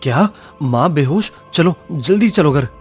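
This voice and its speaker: A man's voice: fairly high pitch, lively intonation, unhurried, husky. A man playing a poor, anxious, kind-hearted village blacksmith in a cartoon story, earnest and dramatic, over music. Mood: alarmed. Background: background music.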